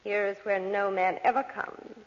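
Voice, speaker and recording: An actress playing an ancient, possessive undead spirit woman in a 1940s radio drama, speaking slowly and commandingly with ominous emphasis. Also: medium pitch, steady tone, natural voice. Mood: gloating, menacing. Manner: slow, ominous, commanding delivery with drawn-out emphasis. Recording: muffled old radio recording, long hall reverb.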